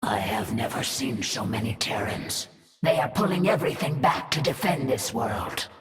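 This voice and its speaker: An actor playing a proud, ominous alien warrior of a swarm in a video game, solemn and measured. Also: male voice, medium pitch, lively intonation, fairly bright tone, distant mic, faint music behind, natural voice. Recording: long echoing reverb, set back.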